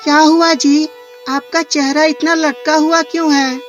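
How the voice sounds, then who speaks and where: A woman voicing a caring, practical farmer's wife in a cartoon moral story, expressive and questioning. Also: high-pitched, unhurried, pitched above the performer's own voice. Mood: concerned, consoling. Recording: music behind the voice.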